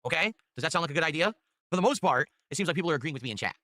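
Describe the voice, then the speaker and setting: A man's voice: animated, racing, sharp tone, no echo. A man hosting his own stream, chatting casually and hyping up viewers for support. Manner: upbeat hype pitching for tips. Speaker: streamer host.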